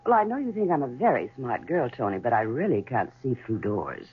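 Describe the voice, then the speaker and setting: A woman's voice: extremely low pitch, sing-song, a little fast, slight background noise. An actress playing a cool, witty, self-possessed woman, arch and evasive, in a 1970s radio drama. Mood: teasing. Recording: muffled old radio broadcast.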